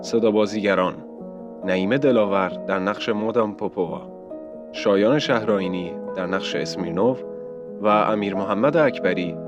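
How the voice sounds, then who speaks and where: A man's voice: on the low side, very fast. A man reading a scripted credits announcement as an announcer, in slightly dull audio with music behind him. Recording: dull band-limited audio under music. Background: prominent music.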